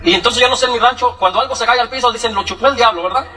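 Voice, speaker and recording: Young Mexican-accented man, high bright voice, comic storyteller at a talk, heard from a distance. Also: rapid-fire. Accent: Mexican Spanish. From Michoacán, Mexico. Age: young adult. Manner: joking, animated, acts out quoted voices. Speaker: speaker giving a testimony.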